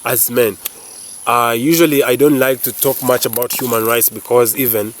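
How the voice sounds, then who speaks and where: A man's voice: medium pitch, fairly even intonation, normal speed, a little hiss or hum. A man from Uganda with a Ugandan English accent who is not a native English speaker, vlogging casually, talking straight to viewers, rambling, searching for words and repeating himself. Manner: talks straight to viewers, keeps saying "guys". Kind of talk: casual opinion vlog.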